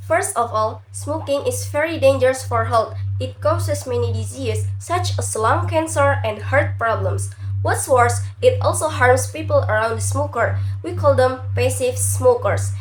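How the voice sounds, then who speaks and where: Brief: young woman, stuffy from a cold, high voice, careful read-through of a student presentation. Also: low-quality recording. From Indonesia. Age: young adult. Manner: careful, slightly halting non-native English. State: has a cold. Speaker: university student. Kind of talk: reading a prepared class presentation.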